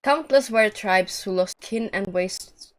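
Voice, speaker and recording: A woman's voice: mid-range voice, slow pace. Blunt woman streaming a video game. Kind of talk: live stream talk and reading game text aloud.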